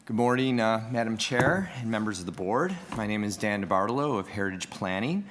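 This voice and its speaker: Man, lowish voice, measured formal presentation, reading a staff report at a meeting. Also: lively intonation, fairly bright tone, a little hiss or hum. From Canada. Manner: measured, reads prepared remarks, asks for next slide. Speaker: heritage planning staff presenter. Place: council meeting room.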